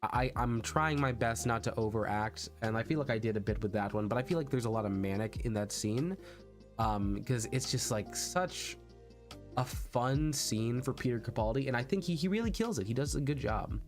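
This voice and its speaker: A man chats casually to his livestream audience. He speaks haltingly and self-consciously, doubling back on words. Music plays behind his voice. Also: medium pitch. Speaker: VTuber streamer practicing voice acting. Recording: background music under the voice.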